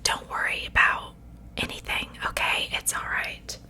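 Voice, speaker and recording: Woman acting a witch, ASMR roleplay, whispered, soothing, unhurried, falsely reassuring, quietly menacing. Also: natural voice. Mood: soothing, gleeful. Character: sweetly menacing witch seasoning her captive for stew.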